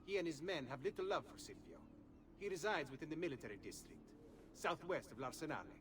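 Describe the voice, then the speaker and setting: An actor voicing a jovial, scheming middle-aged thief boss with a playful manner. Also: male voice, a little high, big pitch swings, fast, background noise.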